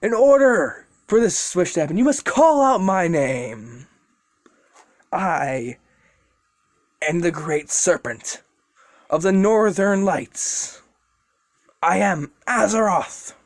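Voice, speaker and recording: An amateur narrator voicing an ancient male serpent spirit, speaking slowly, low and grave, in a spoken fan story. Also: lively intonation, no echo. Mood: solemn.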